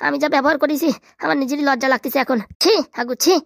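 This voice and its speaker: Guy doing a miserable cartoon husband who drinks, grumbles and rambles on super fast, dry close sound. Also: very high-pitched, fairly even intonation, vocal fry, a bit bright, dry recording, heavily acted. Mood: remorseful, self-pitying. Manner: grumbling, self-scolding, rambling. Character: troubled, remorseful married village man who drinks. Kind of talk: comedy cartoon dialect acting.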